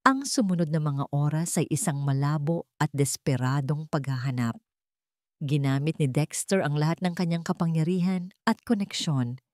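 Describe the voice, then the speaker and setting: Low-voiced Filipino woman narrating dramatic fiction. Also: normal speed, no echo, studio quality. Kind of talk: scripted dramatic story narration.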